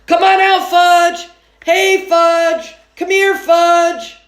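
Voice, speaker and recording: A woman reading as an excitable, exasperated fourth-grade boy, animated and incredulous. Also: extremely high pitch, very slow, echoey room, pitched above the performer's own voice, full character voice. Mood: calling out, excited.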